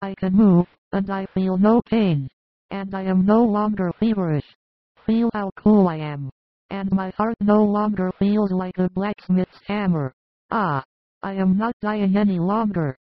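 Casting: man doing a frail old village woman, high thin fretful voice, tinny dry phone-like sound. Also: measured pace, no echo. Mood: overjoyed. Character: frail sick old woman, querulous then joyful. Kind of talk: audiobook character voice.

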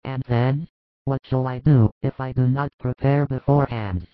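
Male reader as an insistent follower begging his master in a religious story; mid pitch, phone-like audio. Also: fairly even intonation, moderate pace, dry recording, natural voice. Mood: insistent pleading. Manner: insistent, pleading. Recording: thin phone-like band, dry.

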